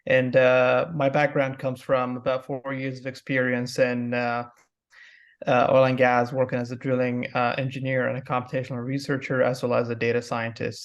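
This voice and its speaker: A young adult man introducing himself as a research panelist. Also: mid-range voice.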